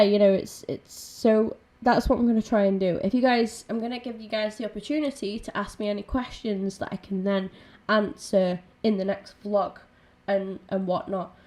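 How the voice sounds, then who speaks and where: Female streamer, raspy and croaky with a cold, a bit nasal, mellow dark tone, loose rambling chat full of ums. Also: mid-range voice. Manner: chatty, rambling, with many ums and restarts. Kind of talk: casual gaming stream chat.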